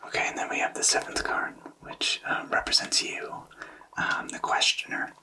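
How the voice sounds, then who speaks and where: A man giving a tarot reading in a breathy whisper, explaining gently with halting, hesitant phrasing. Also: far from the mic, poor audio. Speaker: tarot reader.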